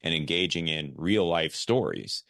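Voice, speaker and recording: A man's voice: deep voice, measured pace, gravelly, fairly bright tone, no echo, clean studio sound. A man hosting a podcast in casual interview chat, reflectively thinking aloud as he talks. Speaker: podcast host.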